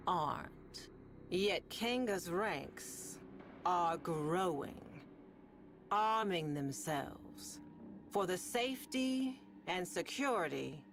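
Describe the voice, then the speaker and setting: Slow, wry sci-fi underground radio host. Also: female voice, on the low side, noisy background. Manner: deliberate, wry, ominous, with long pauses. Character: sardonic world-weary female underground radio host in a sci-fi game. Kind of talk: slow dramatic monologue to listeners, storytelling.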